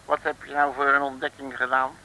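An actor playing a brash, grumbling detective who blusters in slangy Dutch in a 1960s radio serial. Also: male voice, medium pitch, little pitch movement, a little fast, sharp tone, a little hiss or hum, low-quality recording. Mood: brash, impressed. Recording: old radio recording.

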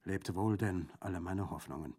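A man's voice: fairly low pitch, fairly even intonation, moderate pace, darkish tone, lightly acted. Man playing a faint, weary wounded lieutenant. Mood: faint, sad. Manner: faint, sombre, breathy delivery. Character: wounded young lieutenant, weary, shaken gentleman. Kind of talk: audiobook character acting.

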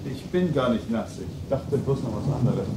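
A man acting a preoccupied gentleman in a radio play. His mid-pitched voice rings roomy, with clear storm noise around it. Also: lively intonation, moderate pace, lightly acted. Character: distracted, brief-spoken gentleman at home.

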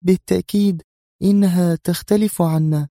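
A man voicing a quiet, sly young woman agent in an audio drama, with a murmuring, knowing delivery. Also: high-pitched, steady tone, moderate pace, dry recording, clean studio sound, voice pushed up. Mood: quietly tense.

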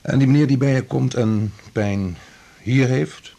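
A man's voice: mid-range voice, a little slow, creaky, dark tone. An actor playing a male examining doctor who asks probing, clipped questions in a radio drama.

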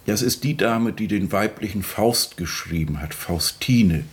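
A music expert, played by a man with a fairly low voice, talking as if in an interview. He explains informally, hesitating and feeling for words in a mock radio documentary. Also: unhurried, close to an everyday voice. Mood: hesitant, explanatory. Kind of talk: spontaneous-sounding interview commentary in a mock documentary.

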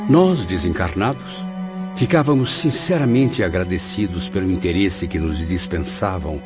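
Guy doing a grateful dead man's spirit telling memories, deep lively voice, lo-fi radio play. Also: exaggerated voice. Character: grateful, dignified male discarnate spirit recounting memories. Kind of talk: Brazilian radio drama narration. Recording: dull lo-fi audio. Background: music.

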